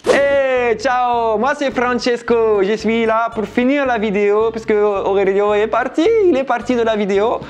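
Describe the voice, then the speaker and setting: A man's voice: extremely high pitch, fairly even intonation, normal speed, few pauses, a little hiss or hum, voice pushed up. A man voicing Francesco, a goofy Italian character in a cheap disguise, with a put-on Italian accent and a playful, clowning manner.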